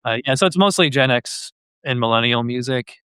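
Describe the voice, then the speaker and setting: A man's voice: mid-range voice, animated, a little fast, bright, forceful tone, clear, resonant tone, no echo. A man hosting a podcast in a casual, conversational style. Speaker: podcast host.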